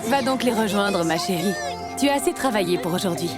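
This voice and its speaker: A woman's voice: mid-range voice, animated, brisk, natural voice. A woman playing a caring mother wolf in a cartoon dub, soothing and tender as she teaches. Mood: tender, calm. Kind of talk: animated series dubbing. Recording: voice over loud background music.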